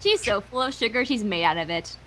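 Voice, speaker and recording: A woman co-reading on a livestream, chatting in casual, excitable banter. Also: mid-range voice, brisk, low-quality recording. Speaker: livestream guest co-reader. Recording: faint background noise, livestream audio.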